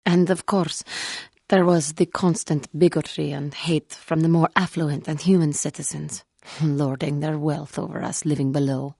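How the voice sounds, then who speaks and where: A woman playing a wounded, earnest female alien Jedi in a video game, speaking in formal, measured phrases. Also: sits low, fairly even intonation, gravelly, warm tone, slightly rough, dry recording, studio quality, exaggerated voice. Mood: bitter, pained. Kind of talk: scripted video game character dialogue.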